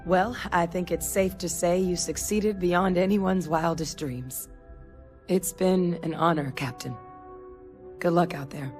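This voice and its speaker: A woman playing a sincere, resolute soldier in a video game, speaking in an earnest, reflective, measured way. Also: on the low side, steady tone, darkish tone, faint music behind, lightly acted. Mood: grateful. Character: sincere, resolute female soldier haunted by her father. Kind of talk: dramatic video game dialogue.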